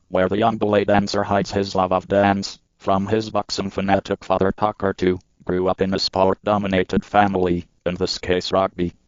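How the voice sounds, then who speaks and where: A man with a low, flat voice narrates a news feature at an even, measured pace. His tone is smooth and clean, recorded dry with a slightly dull, muffled sound. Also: no echo. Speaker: news reporter.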